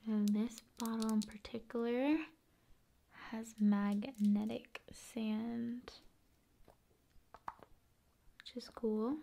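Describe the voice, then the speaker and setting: A woman hosting an ASMR video, talking in an unhurried, hushed, conversational way that moves between soft speech and whispering. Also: on the high side, level tone, creaky, slight background noise.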